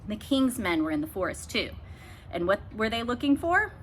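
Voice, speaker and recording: A woman reading a children's book aloud expressively, with emphatic phrasing and dramatic pauses. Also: medium pitch, sing-song. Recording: faint background noise.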